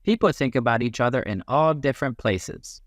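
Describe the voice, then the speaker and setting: A man reading a children's book aloud as a teacher, in an expressive, instructive way that leans on key phrases. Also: mid-range voice, fairly quick, no room sound, studio quality.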